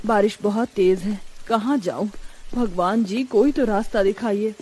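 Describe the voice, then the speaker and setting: A woman playing a poor widowed mother in a cartoon story, plaintive, gentle and emotional. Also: medium pitch, measured pace, breathy edge. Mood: helpless.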